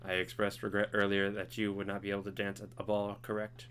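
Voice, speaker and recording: A man voicing a naive learning computer in a game, in a flat monotone. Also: lowish voice, fast talker, a little hiss or hum, lightly acted. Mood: earnest.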